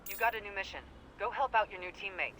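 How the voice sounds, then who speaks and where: Brisk mission handler, talks fast, bright high voice, faint noise behind. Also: male voice, low-quality recording. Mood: urgent, instructing. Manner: brisk, businesslike. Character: brisk male mission handler briefing an agent. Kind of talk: game voice acting.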